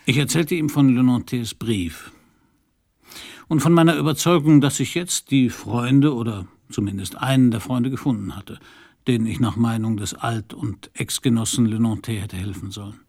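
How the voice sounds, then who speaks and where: A man playing a world-weary private detective in a radio noir. His voice is husky and full of gravel, at a middling male pitch, and he talks in a dry, laconic, sardonic way. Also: moderate pace, darkish tone, close to an everyday voice. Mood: narrating, sardonic. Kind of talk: German radio crime play narration and dialogue.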